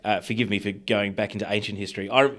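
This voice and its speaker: A man's voice: on the low side, fairly even intonation, fast talker, a bit bright. An Australian man hosting a conversational sports interview, asking long, detailed questions. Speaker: interviewer and show host.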